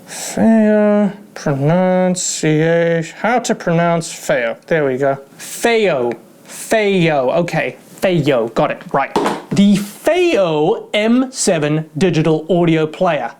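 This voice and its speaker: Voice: medium pitch, animated, slow pace, darkish tone, a little hiss or hum. A gear reviewer giving a casual product review in a chatty, rambling way, with self-deprecating humour.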